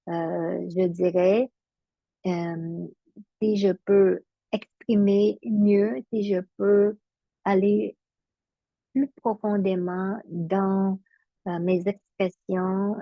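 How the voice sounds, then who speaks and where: Middle-aged woman from vietnam, coaching webinar, lots of euhs, slow and halting, dry lo-fi computer mic. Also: on the low side, no echo. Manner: hesitant, many "euh" fillers and restarts. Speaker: numerology and business coach. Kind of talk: casual solo webinar recording.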